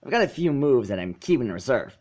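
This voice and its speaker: A man's voice: medium pitch, very animated, fast talker, a little mellow, dull audio. A man voicing a brash, boastful young tomboy pegasus pony in a storybook reading, with a casual, cocky manner. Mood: excited bragging.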